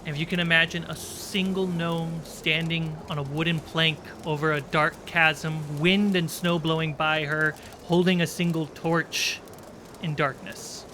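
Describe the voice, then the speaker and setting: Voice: medium pitch, flat delivery, measured pace, pushed and bright. A game master narrating a tabletop roleplaying game in descriptive, suspenseful storytelling. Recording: clear background noise.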